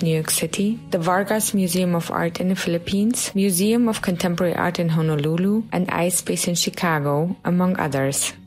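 A woman hosting an interview, reading a formal guest introduction and asking measured questions. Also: lowish voice. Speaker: host. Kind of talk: podcast interview.

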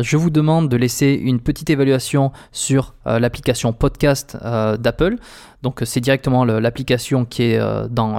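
A male podcast host with an ordinary mid-range voice addresses his audience directly. He keeps it conversational, pausing and hesitating as he goes. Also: mid-range voice, creaky, a little mellow. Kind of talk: podcast interview and listener appeal.

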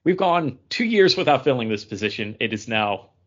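A man's voice: mid-range voice, big pitch swings, moderate pace, highs cut off. A man co-hosting a podcast in a casual, conversational panel chat. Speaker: podcast co-host.

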